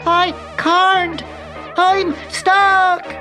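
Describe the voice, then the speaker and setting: A man playing a small, eager boy in a storybook, his voice lifted high and a touch breathy. He sounds young and keen, with a cheeky edge. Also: flat delivery, drawn-out words, sharp tone, soft background music, heavily acted. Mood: stuck.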